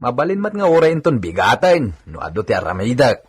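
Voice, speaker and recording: Man acting wheedling hard-drinking hacienda worker, very animated. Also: mid-range voice, a little fast, a little hiss or hum, natural voice. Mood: brisk, bossy. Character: wheedling, hard-drinking male hacienda worker who nags for liquor money. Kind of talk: radio drama acting.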